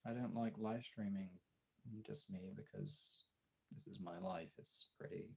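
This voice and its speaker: Male streamer, gravelly and raspy, confessional off-the-cuff delivery with frequent pauses and casual swearing. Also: on the low side, steady tone, darkish tone. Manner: halting, candid, swearing. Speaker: live streamer and voice actor. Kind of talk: casual confessional livestream chat. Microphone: phone.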